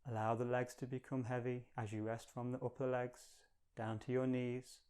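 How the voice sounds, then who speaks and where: A man guides a meditation in a smooth, resonant voice of medium pitch. His intonation stays very even, with little rise or fall. He gives gentle instructions in a calm, measured, soothing way.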